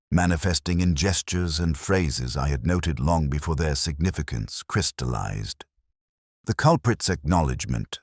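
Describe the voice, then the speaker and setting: A man reading a scripted first-person detective story in a measured, formal, reflective manner. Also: rumbling low, dry recording, clean studio sound. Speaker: audiobook-style story narrator.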